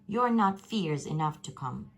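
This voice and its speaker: A woman voicing mean, grim tyrannosaurs from a storybook in a loud, forceful chant. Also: low voice, animated, measured pace, heavily acted. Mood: scornful. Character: mean, grim, shouting family of hunting tyrannosaurs.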